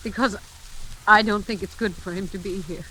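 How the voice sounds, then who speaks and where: An actress playing a gentle, fragile, distressed wife, halting and earnest, in 1940s radio style. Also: female voice, mid-range voice, fairly even intonation, husky. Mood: tender, hesitant. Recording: noisy old radio recording, rain-like hiss.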